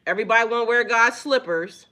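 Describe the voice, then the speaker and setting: A woman's voice: on the high side, fast. A woman hosting a spiritual reading vlog, talking straight to her viewers in a blunt, profane, chatty way and laughing often. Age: adult with children. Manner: loud, animated delivery. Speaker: spiritual reader and channel host.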